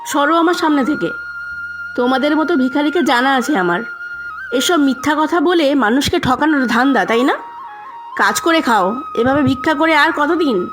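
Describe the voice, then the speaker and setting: A woman's voice: high-pitched, animated, normal speed. A woman playing an outspoken passer-by in a cartoon story. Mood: stern. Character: outspoken female passer-by in the street. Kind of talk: Bengali cartoon story dialogue. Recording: faint music behind.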